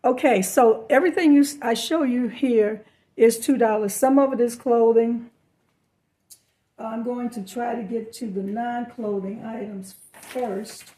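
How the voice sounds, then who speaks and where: Hoarse, chatty woman reseller. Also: on the high side, fairly even intonation, a little slow, dark tone. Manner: rambling, many fillers and restarts. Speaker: reseller showing thrift hauls. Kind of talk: casual chatty haul video.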